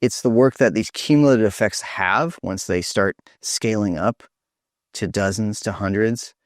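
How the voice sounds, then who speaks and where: A man hosting an informal online presentation, speaking in an earnest, halting way over a video call. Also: fairly low pitch, a little mellow, dry recording, clean studio sound.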